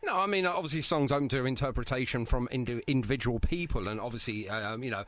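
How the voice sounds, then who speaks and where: A man's voice: mid-range voice, fast talker, a bit bright. A British man hosting a radio music show, chatty and matey, with casual, jokey banter.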